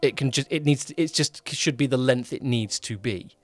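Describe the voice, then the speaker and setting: A man's voice: medium pitch, moderate pace. Rambling man chatting over music. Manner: rambling, often rewording mid-sentence. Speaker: podcast co-host, audio drama producer-director. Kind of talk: casual behind-the-scenes podcast chat.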